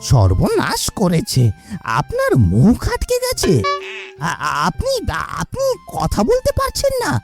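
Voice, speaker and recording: A woman's voice: high voice, wildly swooping pitch, slow, soft background music, exaggerated voice. Woman voicing a nervous, swooping cartoon villager. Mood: alarmed. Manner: stammering, anxious questions. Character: nervous, deferential villager serving the headman. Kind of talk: cartoon voice acting.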